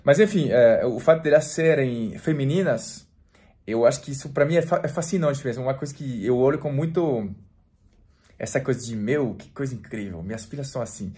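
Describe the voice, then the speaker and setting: A man in his forties from France, speaking Portuguese with a French accent in a relaxed, chatty vlog to camera, full of restarts and fillers. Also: mid-range voice, a little fast. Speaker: French teacher and vlogger. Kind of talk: casual talk to camera.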